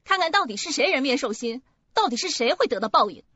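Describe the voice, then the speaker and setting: An actress playing a haughty young wife in a radio drama, scornful and scolding. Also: female voice, high voice, very animated, fast, dry recording, heavily acted. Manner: sharp, scolding. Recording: dull lo-fi audio, faint music.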